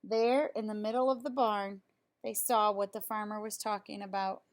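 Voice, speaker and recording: A woman reading a children's picture book aloud in an expressive way, as if to young listeners. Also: medium pitch, normal speed. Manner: expressive, explains words simply to children. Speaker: storybook reader.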